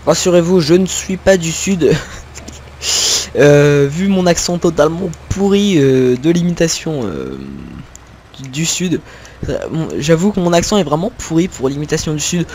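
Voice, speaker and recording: A young man from northern France, speaking in a fairly high voice over a desktop mic. He rambles through casual gameplay commentary, full of hesitations and small laughs at himself. A faint hum of a tractor engine sits in the background. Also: expressive, moderate pace, a little mellow. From Picardy, northern France. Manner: chatty and rambling, many fillers, laughs at himself. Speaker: gaming video creator.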